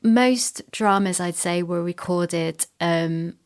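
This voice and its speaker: A middle-aged woman with a fairly low voice talks casually as an interview guest. She explains her production work in a chatty, unscripted way. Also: slow, clear, resonant tone. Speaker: radio drama producer and sound designer, podcast guest.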